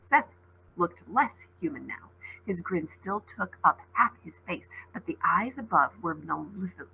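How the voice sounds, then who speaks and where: A woman's voice: on the low side, expressive, measured pace, vocal fry, pushed and bright, slight background noise, rough recording. A woman teacher reading a storybook aloud expressively and dramatically, with asides to her listeners.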